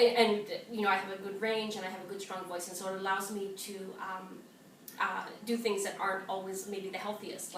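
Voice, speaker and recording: A woman's voice: mid-range voice, fairly bright tone. Woman singer chatting in a roomy space. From Canadian Maritimes. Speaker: singer and actor, interview guest. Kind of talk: casual conversation. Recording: roomy echo, distant mic, faint noise.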